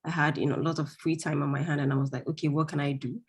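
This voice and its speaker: Woman, grew up in Greece, deep low voice, relaxed, chatty, thinks aloud, video call. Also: racing, husky. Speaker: course participant. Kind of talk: casual self-introduction.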